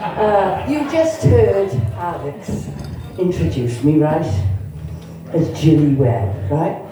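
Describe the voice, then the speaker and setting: A woman's voice: deep voice, sing-song, slow pace, over music. A woman in her sixties from Cardiff with a Cardiff Welsh accent, performing a bawdy, chatty stand-up set and teasing the crowd. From Cardiff, Wales. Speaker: stand-up comedian. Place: comedy club stage. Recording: echoey venue, mic heard from a distance.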